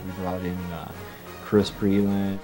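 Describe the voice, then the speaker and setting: A man's voice: deep voice, completely flat delivery, unhurried, music in the background. A middle-aged man casually recalling amateur audio productions in an interview.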